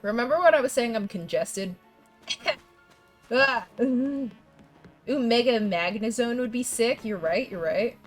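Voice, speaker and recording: A woman's voice: a little high, animated, unhurried, long pauses, soft background music. A young woman streaming a game and chatting with viewers, congested from a coming cold, rambling with restarts and laughs. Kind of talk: casual gameplay chat with viewers.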